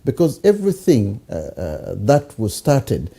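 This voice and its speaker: A man leads a conversational interview, his voice dark and mellow with a husky edge. He works toward his questions through hesitations and keeps up a steady stream of agreement while the guest talks. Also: lowish voice, unhurried. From Uganda. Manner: searching, hesitant, frequent backchannel agreement.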